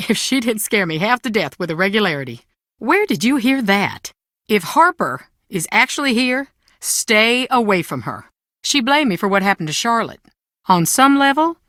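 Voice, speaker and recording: A proud Southern mother in a video game, middle-aged and weary. Her Southern US drawl is bright and edged, moving expressively up and down. She is blunt and folksy, and the sound is dry and close. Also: mid-range voice, no echo. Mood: wary.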